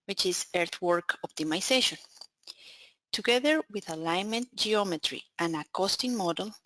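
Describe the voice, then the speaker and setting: A woman's voice: mid-range voice, a bit bright, dry recording. Spanish-accented woman presenting a webinar. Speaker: account manager presenting a webinar.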